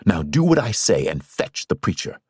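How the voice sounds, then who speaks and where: A man's voice: sits low, wildly swooping pitch, moderate pace, a little mellow, slightly rough, no echo, heavily acted. A man reading aloud, voicing a stern, commanding older father who rules his family firmly.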